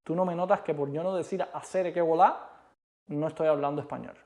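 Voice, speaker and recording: A man from Cuba with a Cuban Spanish accent, co-hosting a casual chat in an emphatic, animated way. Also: on the high side, fairly quick. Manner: emphatic, animated, repeats rhetorical questions. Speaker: co-host.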